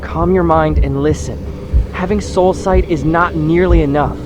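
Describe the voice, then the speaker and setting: A man playing a calm, earnest young mage giving guidance in a fantasy audio drama. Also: fairly high pitch, a little slow, over music, lightly acted. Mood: calm, instructive.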